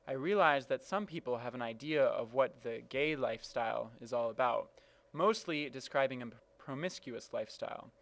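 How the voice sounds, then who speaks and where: A man's voice: mid-range voice, fairly bright tone. A man reading a written personal reflection aloud. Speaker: reader of personal testimony.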